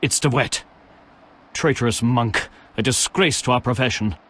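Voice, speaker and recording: An earnest knight of a holy order, played by a man for a fantasy game. His voice is rough-edged and formal, full of righteous purpose. Long reverb trails his words. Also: medium pitch, moderate pace, a bit bright, natural voice. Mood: wary, righteous. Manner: solemn, formal. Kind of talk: video game voice acting. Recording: long reverberant hall echo.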